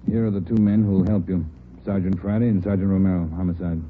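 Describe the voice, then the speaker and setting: A man's voice: sits low, steady tone, quick pace, natural voice. A man playing a brusque police chief. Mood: firm. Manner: curt, authoritative orders. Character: brusque, commanding male chief detective. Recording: tinny old radio broadcast with faint hiss.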